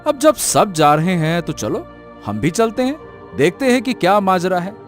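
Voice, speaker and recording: A man playing a curious, incredulous village man in a cartoon story, with a questioning, animated manner. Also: high voice, moderate pace. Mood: urging. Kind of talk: scripted cartoon story acting. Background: music.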